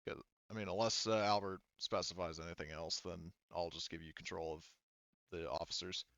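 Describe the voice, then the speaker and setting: A man working as a game master, running a tabletop roleplaying game casually and thinking aloud in a halting way. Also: low voice, steady tone, fairly bright tone, no echo, dull audio.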